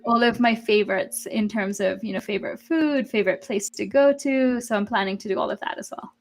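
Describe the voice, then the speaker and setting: Upbeat young woman guest, software developer. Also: mid-range voice, fairly even intonation, fairly quick. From Kenya. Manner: friendly and upbeat, laughs often, uses fillers. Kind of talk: casual interview.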